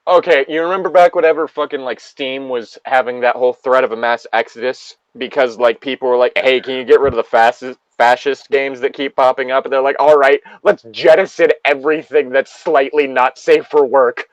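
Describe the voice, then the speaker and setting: A man's voice: mid-range voice, fairly even intonation, brisk, few pauses, no echo, highs cut off. A man hosting a casual chat, talking in a crude, joking manner with frequent swearing. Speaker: podcast host.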